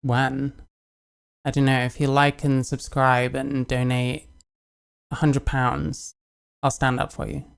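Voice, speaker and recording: A British woman answers her viewers' comments in a casual, deadpan way, keeping most replies short. Her voice is smooth and resonant with a gravelly edge of vocal fry. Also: a bass voice, slow. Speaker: streamer.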